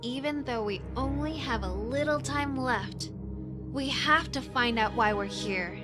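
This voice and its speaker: An actress playing a newly awakened young angel, earnest and questioning, in a dramatic visual novel game. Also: female voice, high voice, unhurried. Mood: hurt, resolute. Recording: loud music behind the voice. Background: music.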